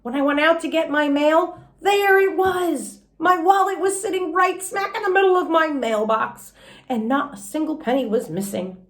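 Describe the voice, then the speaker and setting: A woman voicing a kindly, gentle old grandfather in a children's storybook, in a folksy, affectionate way. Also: sits high, normal speed, pitched above the performer's own voice, lightly acted. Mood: earnest. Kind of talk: children's storybook read-aloud.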